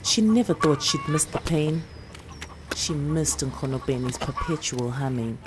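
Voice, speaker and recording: A South African woman actor narrates a short story in a very low voice. Her reading is slow, deliberate and expressive, with clear noise in the background. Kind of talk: dramatic literary story narration.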